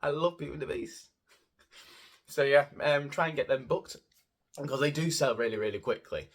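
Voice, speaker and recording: A man's voice: fairly high pitch, moderate pace. A man giving a casual, gushing and rambling review of a theatre show as a vlogger, often repeating words for emphasis. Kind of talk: casual spoken review to camera.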